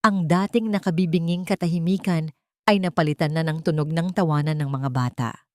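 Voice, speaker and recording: A woman narrating a scripted audio drama in an expressive, emotive storytelling manner. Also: sits low, brisk, dry recording, studio quality.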